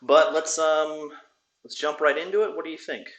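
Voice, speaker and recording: A man hosting a casual chat about a tabletop wargame, talking in a relaxed, halting way with many fillers. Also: fairly high pitch, roomy sound. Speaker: podcast host. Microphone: new desktop microphone.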